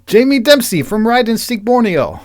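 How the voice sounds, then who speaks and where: A man's voice: very high-pitched, sing-song, normal speed, pitched above the performer's own voice. A man voicing an earnest, stranded biker with a stilted delivery in a comedy sketch.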